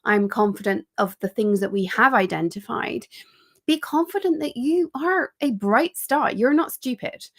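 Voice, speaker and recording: A woman, an executive coach, giving an energetic, chatty live online training with quick asides and frequent ums, speaking into a computer mic on a video call. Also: fairly high pitch.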